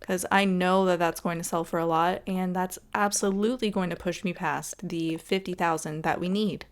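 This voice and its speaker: A woman's voice: fairly low pitch, steady tone, normal speed. A woman giving casual, chatty gameplay commentary in an informal way. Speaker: gaming video host.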